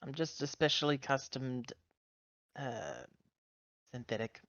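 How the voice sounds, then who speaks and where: A woman's voice: extremely low pitch, slow pace, dry recording, highs cut off. A woman playing a tabletop roleplaying game in character, with casual, wry, joking banter.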